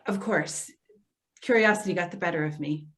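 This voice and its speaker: A woman's voice: on the low side, moderate pace, fairly bright tone, close to an everyday voice. A woman playing an earnest, apologetic social worker in readers theater, speaking with careful, placating hesitation. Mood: flustered, apologetic.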